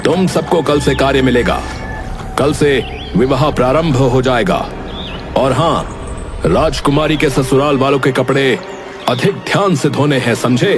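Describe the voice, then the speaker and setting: Palace authority figure male actor: mid-pitched, commanding and deliberate, cartoon fairy-tale style with music underneath. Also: natural voice. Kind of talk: Hindi animated moral-story voice acting.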